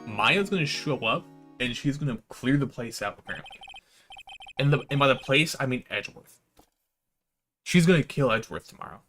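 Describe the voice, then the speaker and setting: Bright-voiced man streaming a game. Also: medium pitch, expressive, normal speed, long pauses, faint music behind. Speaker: livestreamer reading a visual novel aloud. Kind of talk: casual live game reaction and read-aloud.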